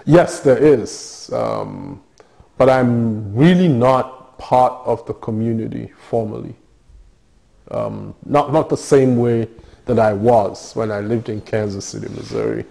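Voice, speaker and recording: Gravelly man, hesitant and thoughtful. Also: mid-range voice, very animated, slow, dark tone. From Nigeria. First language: Yoruba. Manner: thoughtful, hesitant, many fillers and restarts. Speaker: interviewee. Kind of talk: oral history interview.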